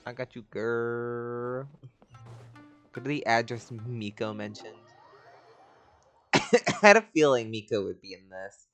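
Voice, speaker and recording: A woman's voice: extremely low pitch, takes it slowly, pauses often, no echo, soft background music. A woman giving casual, offhand, reactive commentary as she plays through a video game. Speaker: gaming streamer.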